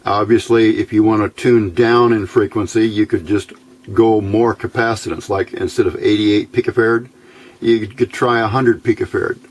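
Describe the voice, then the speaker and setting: A man giving a casual hands-on electronics tutorial, halting and thinking aloud as he goes. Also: lowish voice, steady tone. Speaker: electronics hobbyist video host.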